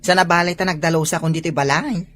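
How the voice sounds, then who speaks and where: A woman's voice: fairly low pitch, fairly even intonation, fast, a bit bright, lightly acted. A woman playing an affectionate, dutiful young newlywed wife in an audio comedy drama.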